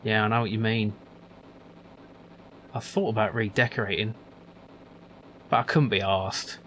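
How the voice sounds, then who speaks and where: A man doing a gruff old worker. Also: lowish voice, fairly even intonation, measured pace, a bit bright, a little hiss or hum, poor audio. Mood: grumbling. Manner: grumbling, dismissive, calls others son. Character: gruff older male factory worker, grumpy and lazy.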